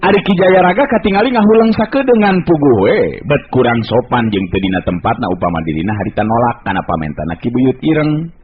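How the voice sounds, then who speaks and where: A man's voice: medium pitch, expressive, a little fast. A man with a Sundanese accent narrating a folk tale dramatically, as a radio storyteller. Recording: narrow, hissy old radio recording.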